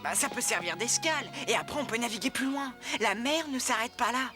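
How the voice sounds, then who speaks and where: A woman's voice: a little high, a little fast, natural voice. A woman voicing an eager, stubborn young boy in a French cartoon, earnest and insistent. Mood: curious, eager. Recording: music behind the voice.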